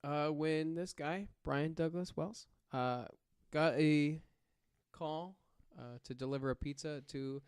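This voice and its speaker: A man telling a true story casually and haltingly on a podcast, with joking asides. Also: a little high, darkish tone, smooth, no echo, studio quality.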